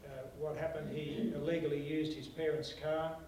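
A man's voice: fairly high pitch, little pitch movement, normal speed, a little mellow, slight background noise. A man on a panel giving a matter-of-fact example. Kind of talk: panel discussion. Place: small meeting room. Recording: echoey room from far off.

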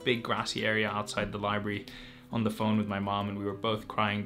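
A man in his twenties, a medical student answering viewer questions in a casual vlog at home. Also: fairly low pitch. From Greece. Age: 20s. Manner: quick, energetic, reads out viewer questions. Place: home room or desk setup. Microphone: camera facing him at close range.

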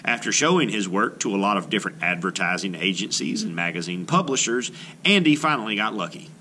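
A man reading a children's book aloud as an art teacher, with expressive, emphatic delivery. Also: fairly low pitch, a little fast, slight background noise.

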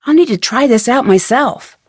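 A woman playing a curious, determined young detective in a video game, thinking aloud in crisp speech with an American accent. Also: fairly high pitch, animated, normal speed, dry recording, studio quality. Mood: curious, focused.

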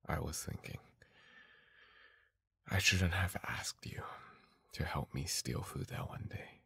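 Casting: male actor for a guarded young bully in intimate ASMR roleplay, extremely low voice, slow and halting, gruff but secretly tender. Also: bright, forceful tone, exaggerated voice. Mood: reluctant, apologetic. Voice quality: husky, gravelly, breathy voice. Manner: hesitant, halting, often dropping into a whisper.

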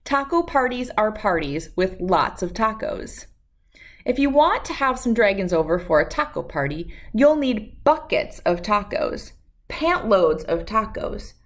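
A woman in her thirties reading a children's storybook aloud with expressive, emphatic delivery and dramatic pauses. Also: medium pitch. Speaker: read-aloud host.

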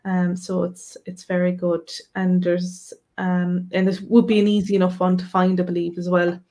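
A woman's voice: fairly low pitch, completely flat delivery, normal speed, dark tone. Chatty woman on a video call. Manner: chatty, with many ums and restarts. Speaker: co-host of a live Q&A. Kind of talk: live-stream Q&A recommending resources. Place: home room, indoors. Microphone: webcam, video call.

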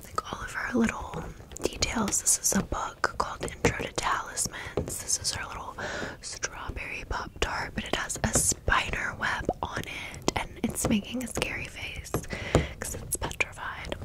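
A woman hosting ASMR in a breathy, unvoiced whisper, chatting informally and gently as she shows off items. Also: a little high, fairly even intonation, unhurried. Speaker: ASMR video host. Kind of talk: whispered ASMR product show-and-tell.

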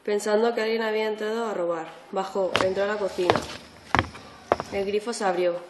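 A young female narrator reads a scripted horror story in a mid-range voice. The amateur recording is very noisy, with a clear room echo. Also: mid-range voice, steady tone, fairly quick. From Spain. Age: teenager.

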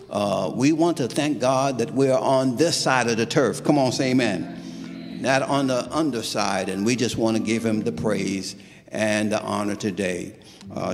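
Gravelly-voiced pastor in a church hall. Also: male voice, lowish voice. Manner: warm call-and-response greeting. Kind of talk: church welcome from the pulpit. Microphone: stand mic at pulpit.

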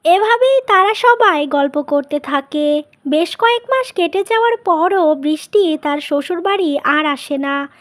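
A woman's voice: very high voice, lively intonation, unhurried, a bit bright. A woman reading scripted narration for a cartoon family story.

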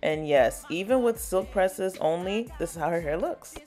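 Lowish-voiced woman stylist chatting thru her salon day, explaining each step, bit of music under it. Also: animated, normal speed, darkish tone. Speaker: natural hairstylist. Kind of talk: casual vlog narration.

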